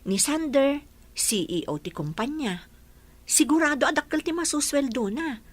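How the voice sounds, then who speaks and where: A woman's voice: high-pitched, animated, moderate pace. A woman with an Ilocano accent playing a chatty, envious village woman in a radio drama.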